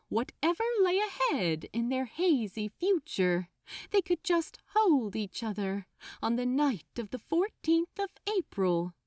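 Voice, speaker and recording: A woman narrating fiction like an audiobook, with an expressive, deliberate and suspenseful delivery. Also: fairly high pitch, dry recording, studio quality. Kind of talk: dramatic scripted audiobook narration.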